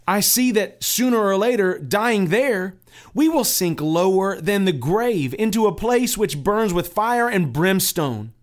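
A man's voice: high-pitched, expressive, a little slow, voice pushed up. A man voicing an earnest, devout male pilgrim who pleads and preaches with fervor. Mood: fervent. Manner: fervent, preaching.

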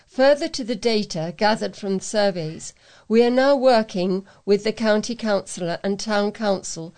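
A woman reads local news items aloud as a volunteer. Her voice sits at a middling pitch, and her delivery is plain and scripted, with an occasional small stumble. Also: a little mellow, smooth. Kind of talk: read-aloud local newspaper report.

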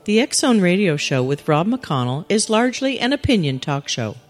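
Lively low-pitched woman announcer. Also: normal speed, over music. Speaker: announcer reading a disclaimer. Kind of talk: scripted disclaimer.

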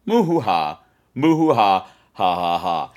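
A man hosting a podcast outro in a theatrical, rambling, excitable way. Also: sits low, slow. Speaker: podcast host.

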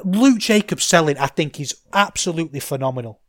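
Manchester bloke, middle-aged podcast host, slightly high voice, rambling and fired up. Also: male voice, brisk. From England. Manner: enthusiastic, rambling, gets loud when excited. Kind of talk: casual solo podcast chat.

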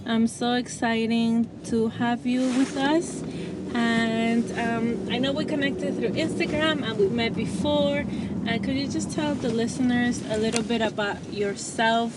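A woman's voice: fairly high pitch, steady tone, unhurried. A woman hosting a parenting podcast, upbeat and encouraging with her guest. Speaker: podcast host. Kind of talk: casual podcast interview. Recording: loud traffic noise behind.

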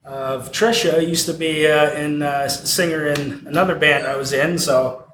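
A man who sings in a band, talking in a relaxed, hesitant way with many pauses. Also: fairly high pitch, roomy sound, background noise. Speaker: band singer. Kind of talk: casual chat.

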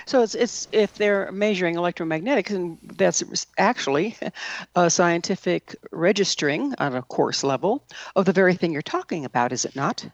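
A man's voice: sits high, lively intonation, normal speed, dry recording, highs cut off. A man interviewing conversationally, thoughtfully building long, leading questions.

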